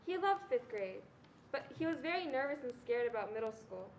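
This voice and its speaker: A woman's voice: on the high side, sing-song, fairly quick, gravelly, a little hiss or hum, low-quality recording. A young woman playing a mother who fondly recounts her son's childhood in a staged reading. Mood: fond, reassuring. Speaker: student performer. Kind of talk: reader's theatre narration.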